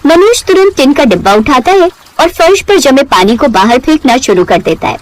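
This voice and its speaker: A woman's voice: high voice, big pitch swings, normal speed, no room sound, poor audio. A woman narrating a scripted moral story in a dramatic storytelling style.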